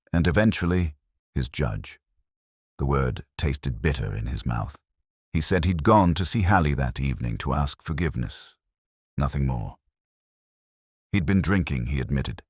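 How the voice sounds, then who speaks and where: A man narrating a mystery in the first person as a weary, reflective detective, in a measured, literary, brooding manner. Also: rumbling low, slightly rough, no room sound, studio quality. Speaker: narrator of a detective audio drama.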